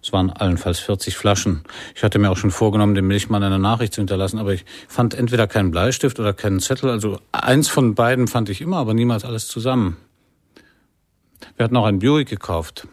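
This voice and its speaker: An actor playing an easygoing jazz musician who tells droll, deadpan anecdotes in a radio play. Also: male voice, fairly low pitch, fast talker, no echo, natural voice.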